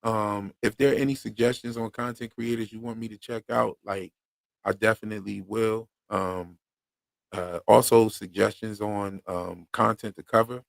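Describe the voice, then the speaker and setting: A man's voice: on the low side, steady tone, moderate pace, gravelly, no room sound. A man from Pennsylvania with a Philadelphia accent, hosting a casual livestream chat in a slangy, laid-back way full of fillers. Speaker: podcast host.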